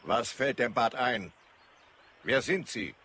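An actor playing a haughty, tyrannical Martian governor in a radio drama, pompous and imperious. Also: male voice, medium pitch, takes it slowly, pushed and bright, breathy edge, heavily acted. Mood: imperious, irritated.

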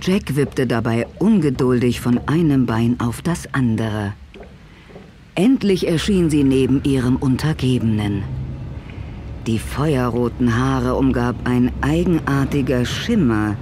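Male narrator for a radio play: mid-pitched, unhurried, literary delivery over faint ambience. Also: animated, mellow, a little hiss or hum. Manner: measured, literary. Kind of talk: scripted dramatic narration.

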